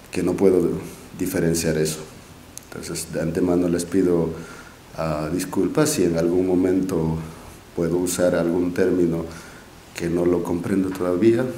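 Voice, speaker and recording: A young Quechua-speaking man with an Andean accent addresses a forum from a podium mic, pausing often and restarting his phrases. His voice sits low and mellow, and he speaks slowly. The auditorium gives it a roomy echo. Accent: Quechua-speaking Andean Spanish. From Peru, Q'ero nation. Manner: halting and modest, with many restarts and fillers. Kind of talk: speech at a podium. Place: large auditorium stage. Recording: echoey auditorium, faint room noise.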